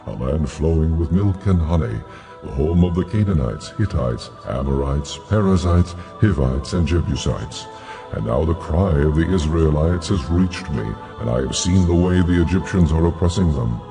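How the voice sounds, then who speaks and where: Divine figure, very low pitch, mellow dark tone, solemn, authoritative, measured, scripture drama. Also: male voice.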